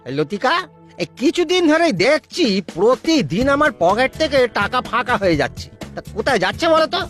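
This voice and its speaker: A man's voice: very high-pitched, big pitch swings, brisk, fairly bright tone, faint music behind, full character voice. A man playing a gullible, boastful lottery dreamer with a naive, exclaiming manner in a comedy cartoon. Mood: puzzled, smug. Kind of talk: exaggerated comedy cartoon voice acting.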